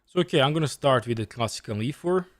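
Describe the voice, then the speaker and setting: A man's voice: medium pitch, animated, quick pace, no echo. A man in his twenties chatting casually and commentating as a chess streamer, often repeating short phrases. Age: 20s. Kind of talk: casual livestream chat and game commentary.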